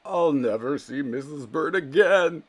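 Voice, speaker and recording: A man voicing an eager male bird husband from a children's storybook, earnest and expressive. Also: a little high, measured pace, voice pushed up. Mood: upset.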